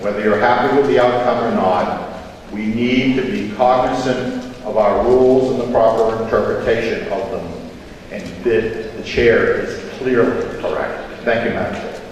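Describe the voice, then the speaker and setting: A man's voice: on the low side, normal speed. A man, a state representative, giving a confident formal floor speech citing the rules. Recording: echoey chamber, distant mic, faint noise.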